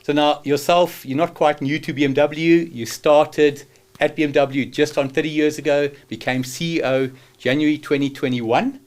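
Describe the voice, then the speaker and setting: A man's voice: on the high side, steady tone, normal speed, darkish tone. A man in his fifties hosting a studio interview, emphatic and fond of rhetorical questions. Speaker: podcast host.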